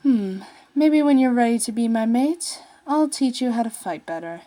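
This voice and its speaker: A woman's voice: on the high side, expressive, moderate pace, warm tone, pitched above the performer's own voice, lightly acted. Woman acting a smug, possessive alien prince.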